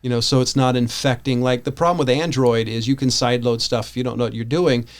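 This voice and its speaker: An American man with a mid-pitched voice chats casually as a podcast co-host. He rambles a little, hesitating and correcting himself as he thinks a point through. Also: fairly even intonation, brisk. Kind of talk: casual tech discussion.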